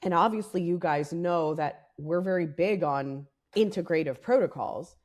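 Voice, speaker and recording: Raspy-voiced woman, fired-up podcast host. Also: on the low side, moderate pace, darkish tone. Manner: candid, fired up, swears freely. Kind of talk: casual podcast talk.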